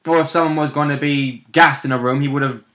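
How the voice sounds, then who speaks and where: Male gamer, bright and forceful tone, informal retelling of a tabletop session. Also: on the high side, steady tone, fast. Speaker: role-play game participant. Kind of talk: casual chat recapping a game.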